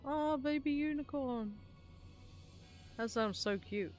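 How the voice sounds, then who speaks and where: A woman's voice: sits high, takes it slowly, darkish tone. A woman chatting casually and playfully as she plays on a gaming live stream. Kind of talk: casual live stream chat while playing. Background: faint music.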